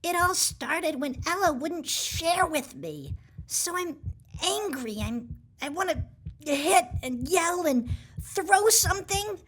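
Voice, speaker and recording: A woman's voice: very high voice, animated, takes it slowly, fairly bright tone, voice pushed up, full character voice, rough recording. A woman voicing a young, upset and indignant child in a children's storybook read-aloud. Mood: angry.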